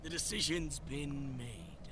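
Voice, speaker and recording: Slow menacing plotter in a sci-fi game, measured, loud rumbly noise behind. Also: male voice. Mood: resolute. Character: ominous, resolute man plotting in secret. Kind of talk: visual novel voice acting.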